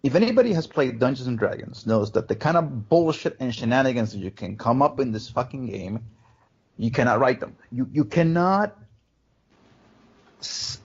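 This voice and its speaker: Gravelly, husky-voiced man on a lo-fi podcast. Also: mid-range voice, moderate pace. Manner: animated storyteller, swears a lot, acts out friends' lines. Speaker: podcast co-host. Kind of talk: casual chat about video games. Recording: dull lo-fi podcast audio.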